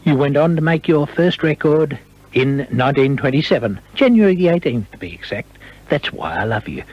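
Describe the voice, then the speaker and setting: Animated male interviewer, higher-pitched voice. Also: brisk. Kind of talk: prepared radio interview questions. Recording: dull archival radio recording.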